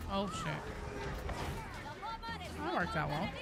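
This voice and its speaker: A woman's voice: mid-range voice, very animated, slow pace, over a noisy crowd. A woman streaming a game, chatting casually in a blunt, rambling, sweary way as she plays. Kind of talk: casual chat while playing a game live.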